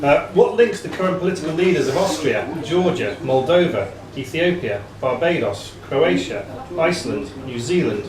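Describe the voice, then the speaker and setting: A man's voice: on the high side, animated, normal speed, few pauses. A man reading quiz questions aloud to contestants as the quizmaster. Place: pub quiz room. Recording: echoey pub room, distant mic, crowd noise.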